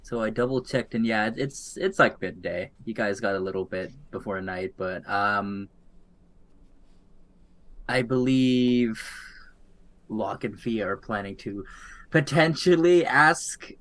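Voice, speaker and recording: A man runs a tabletop game as dungeon master, setting each scene in an easygoing, hesitant way. His voice sits fairly low and moves at a slow, unhurried pace. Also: fairly bright tone.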